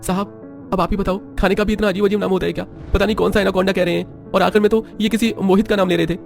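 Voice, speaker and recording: A man's voice: high-pitched, level tone, quick pace, gravelly, faint music behind, pitched above the performer's own voice. A man voicing a naive, indignant rustic waiter in a story, protesting in a plaintive, bewildered way. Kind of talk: cartoon moral-story acting.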